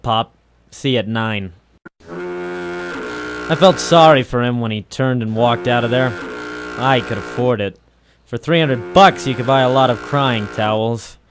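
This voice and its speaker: An actor playing a world-weary American private eye in 1940s radio noir, clipped, sardonic and deadpan. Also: male voice, mid-range voice, background noise, close to an everyday voice. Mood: wry, tough. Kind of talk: 1940s radio noir acting and first-person narration.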